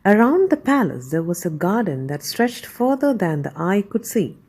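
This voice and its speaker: A woman narrating a scripted bedtime story as a storyteller, measured and expressive. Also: medium pitch, husky.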